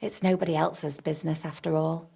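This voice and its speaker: Flirty medieval maid, creaky. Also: female voice, low voice, level tone, brisk, warm tone, heavily acted. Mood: chatty, explanatory. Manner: coy, teasing. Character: flirty, cheerful medieval serving woman of a wealthy household. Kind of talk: naturalistic game dialogue.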